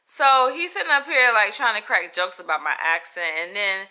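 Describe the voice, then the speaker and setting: A woman with a Southern US accent, telling a story to camera in a frank, animated, profane way. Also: medium pitch, moderate pace. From Florida, US. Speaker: comedian. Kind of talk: casual storytelling vlog.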